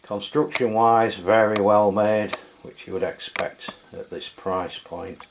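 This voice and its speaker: Low voiced guy from england reviewing a cb radio, lots of uhs, laid back. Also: slow, a little hiss or hum. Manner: relaxed, hesitant with many fillers. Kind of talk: casual product review. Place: home radio room.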